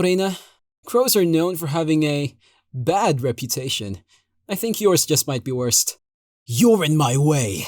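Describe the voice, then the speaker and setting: A man's voice: fairly high pitch, no echo, studio quality. A man voicing a brooding, cryptic crow-themed agent in short dramatic video game one-liners. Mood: dismissive. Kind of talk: video game character voice lines.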